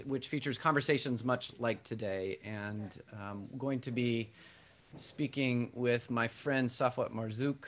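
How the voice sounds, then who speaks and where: A man's voice: medium pitch, a bit bright. A man who is a pastor, reading scripture and then earnestly welcoming a guest into a conversation during a church service. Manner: earnest, heartfelt, with some restarts. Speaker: Presbyterian pastor and podcaster hosting a conversation. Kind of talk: worship-service dialogue, welcoming and introducing a guest.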